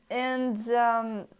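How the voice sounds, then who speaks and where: Slow, halting Russian-accented woman vlogger. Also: on the high side, fairly bright tone, a little hiss or hum. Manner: hesitant, searching for words, many fillers. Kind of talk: halting casual talk in a second language.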